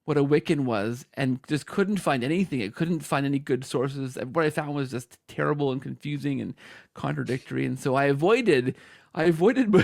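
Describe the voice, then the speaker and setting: A man's voice: on the high side, gravelly, darkish tone, no room sound, clean studio sound. A man hosting a conversational interview, talking in a rambling, anecdotal way. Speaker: podcast host interviewer.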